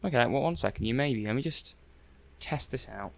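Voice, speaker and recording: A man's voice: mid-range voice, animated, moderate pace, creaky, slight background noise. A man playing a mild-mannered, puzzled robot-testing technician in an ASMR roleplay, hesitant and muttering to himself. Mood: puzzled, intrigued. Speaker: roleplay performer.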